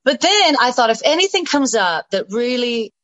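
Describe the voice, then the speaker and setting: A woman's voice: on the high side, normal speed, dry recording, dull audio. Woman with a Southern-British accent, dry sound. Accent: mixed Southern US and British. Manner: animated, laughing, many restarts and asides. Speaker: interview guest, stage actress and singer. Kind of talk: casual storytelling in a podcast interview.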